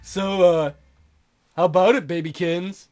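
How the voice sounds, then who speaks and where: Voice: mid-range voice, fairly even intonation, a little slow, darkish tone, faint music behind, natural voice. A man voicing a smug, sleazy older male treasure hunter from a visual novel while playing it. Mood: sly. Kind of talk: visual novel character voiced by a let's player.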